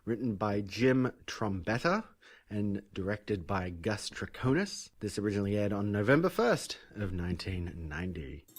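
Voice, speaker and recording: Lower-voiced man hosting a podcast. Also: very animated. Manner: friendly, reads network plugs briskly. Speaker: podcast host. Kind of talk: casual podcast chat.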